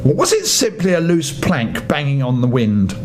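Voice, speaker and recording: An older man reading a children's bedtime story aloud, with dramatic emphasis and drawn-out phrases. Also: wildly swooping pitch, music in the background. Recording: noisy home recording with low rumble.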